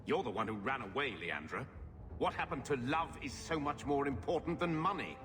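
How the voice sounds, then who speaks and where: A man acting a resentful middle-aged brother in a fantasy game. His bitter, accusing voice rises and falls widely, in long reverb with music clearly behind. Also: mid-range voice, lively intonation, moderate pace, close to an everyday voice. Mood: bitter, defensive. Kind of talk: video game drama acting.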